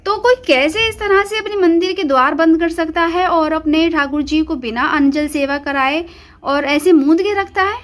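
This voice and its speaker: A woman with a high voice, a little hoarse from a cold, hosts her devotional channel and talks earnestly to her viewers. She asks pointed questions and speaks straight to the people watching. She records on a phone at her home shrine. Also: moderate pace, fairly bright tone. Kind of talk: casual devotional talk to viewers.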